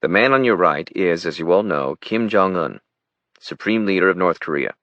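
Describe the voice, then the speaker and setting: A man's voice: sits low, expressive, moderate pace, a bit bright, no echo, highs cut off. A man playing a confident, brusque paramilitary chief in his thirties, delivering lines like a firm military briefing in an audiobook. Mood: businesslike, firm. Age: 30s.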